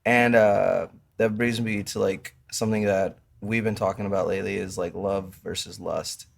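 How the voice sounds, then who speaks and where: Low, creaky-voiced man chatting casually. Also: flat delivery, normal speed. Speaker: podcast co-host. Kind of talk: casual chat.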